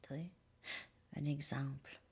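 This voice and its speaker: A woman's voice: low voice, steady tone, takes it slowly, darkish tone, husky. A woman giving a casual tarot reading, rambling and hesitant, sometimes dropping to near-whispers. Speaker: tarot card reader.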